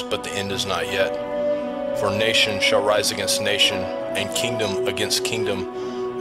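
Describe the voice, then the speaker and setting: A man reading scripted scripture teaching as a Bible teacher. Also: fairly low pitch. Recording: loud music under the voice.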